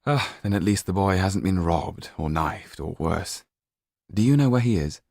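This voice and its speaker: A man voicing a well-bred, kindly gentleman banker in an audiobook, measured and polite with quiet authority. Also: deep voice, expressive, vocal fry, no room sound, clean studio sound, exaggerated voice. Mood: relieved.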